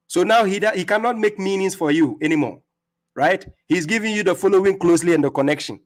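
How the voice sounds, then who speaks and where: Guy on a livestream mocking the other side, kinda high voice, keeps tripping over words and laughing at them. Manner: mocking, taunting, laughs at opponents; emphatic, repeats words when he stumbles. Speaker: livestream host. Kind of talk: combative religious livestream rebuttal. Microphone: streaming setup.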